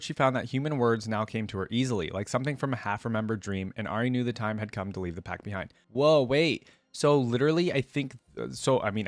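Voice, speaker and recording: A man's voice: medium pitch, dry recording. A man hosting a reaction video in a casual, conversational way, thinking aloud and reading aloud.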